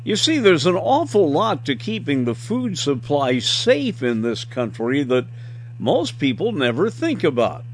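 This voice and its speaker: Male radio host, middle-aged, lively pitch swings, journalist's storytelling delivery, light background noise. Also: unhurried. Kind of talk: radio feature narration and interview.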